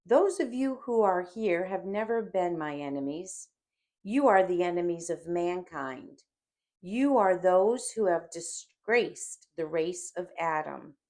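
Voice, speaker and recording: A woman reader voices a crucified man, solemn and defiant, in a dramatic audiobook. He speaks slowly and emphatically, with steady, commanding authority. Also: lowish voice. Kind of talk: dramatic audiobook character reading.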